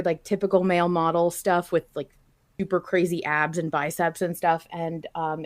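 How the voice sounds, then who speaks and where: A woman hosting a casual fan podcast, talking in playful bro slang with some swearing. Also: fairly low pitch. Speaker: podcast host.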